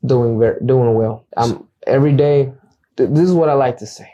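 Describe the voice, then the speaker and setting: Young man, first language Acateco, earnest, repeats words at starts, mellow warm tone. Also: medium pitch, moderate pace, dull audio. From United States. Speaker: guest realtor. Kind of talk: casual interview.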